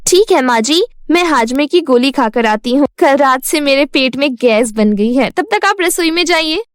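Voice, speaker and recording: Sly young cartoon bahu female narrator acting, high-pitched, sugary and wheedling, dry recording. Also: animated, normal speed, dry recording. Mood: sweetly obliging. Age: young adult. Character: sly, lazy young daughter-in-law, sweetly wheedling.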